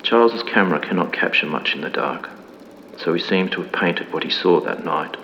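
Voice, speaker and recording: Solemn male archival narrator for analog horror: low voice, formal and grave, dull noisy recording. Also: normal speed, bright, forceful tone. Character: solemn male 1950s archival documentary narrator reporting a mystery. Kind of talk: scripted mock-documentary narration for analog horror. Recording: dull lo-fi, long reverb, clear background noise.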